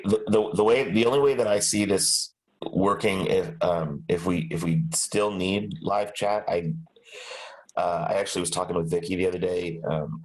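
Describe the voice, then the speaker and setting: Deep-voiced man, stuffed up. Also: low-quality recording. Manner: rambling, many restarts and hedges. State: stuffed up, light cold. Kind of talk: casual meeting discussion. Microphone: video call.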